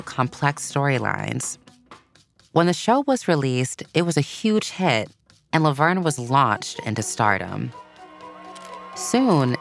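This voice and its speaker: A narrator reading a scripted children's biography in an expressive, measured storytelling way. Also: medium pitch, vocal fry. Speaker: podcast narrator. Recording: soft music bed behind voice. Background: background music.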